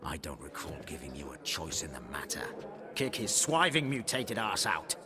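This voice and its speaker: An actor playing a haughty, suspicious auction house owner in a video game, cold, curt and imperious. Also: male voice, very deep voice, big pitch swings, normal speed, a crowd in the background, heavily acted. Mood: imperious anger.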